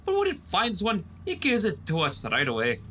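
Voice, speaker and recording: Man as an animated, higher-voiced ship's doctor. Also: moderate pace, slight background noise, exaggerated voice. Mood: excited, proud. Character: earnest, fussy male ship's doctor and inventor. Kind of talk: audio drama acting.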